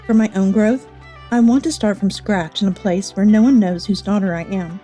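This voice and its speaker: A woman plays an earnest young office daughter-of-the-boss in a manga drama. Her medium-pitched voice is soft and mellow, with light music beneath. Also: normal speed, natural voice. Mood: contrite, earnest. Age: young adult. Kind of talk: manga dub voice acting.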